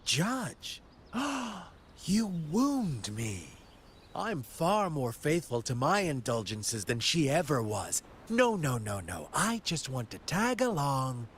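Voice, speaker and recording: A gleefully cruel, unhinged game villain. His delivery is slow and mocking, savoring each phrase, and his pitch leaps about theatrically. He sounds like a showman who delights in menace. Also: huge pitch swings, fairly bright tone, a little hiss or hum, heavily acted. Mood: mock-hurt, playful. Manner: mocking, flamboyant, drawn-out phrasing. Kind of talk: video game cutscene acting.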